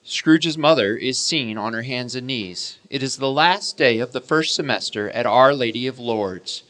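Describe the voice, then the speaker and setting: A man's voice: medium pitch, a little slow, bright, forceful tone, no echo. A man reading scripted dramatic narration for a radio play, describing scenes as they unfold.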